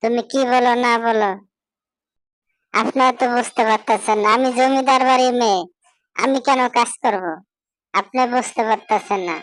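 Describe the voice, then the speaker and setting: Lady doing a spoiled rich cartoon daughter-in-law, defiant, boastful, higher voice, dry recording. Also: fairly even intonation, moderate pace, pauses often, dry recording, lightly acted, rough recording. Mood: haughty, defiant.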